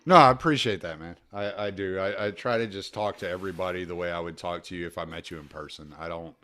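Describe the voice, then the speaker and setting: Low-voiced blunt podcast host man. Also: fast talker, bright, forceful tone. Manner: blunt, swearing, self-deprecating. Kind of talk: casual podcast chat. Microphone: remote call.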